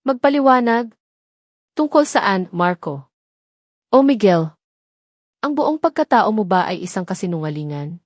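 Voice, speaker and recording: A woman voicing a hurt, bewildered young woman in love, questioning softly and with a tremble in a romance story. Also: medium pitch, lively intonation, a little slow, pauses often, dry recording, studio quality. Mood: hurt, angry.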